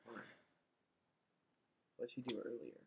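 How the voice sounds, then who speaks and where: A woman's voice: slow, dark tone, no room sound. A woman bantering casually off the cuff, with a dryly teasing, questioning manner.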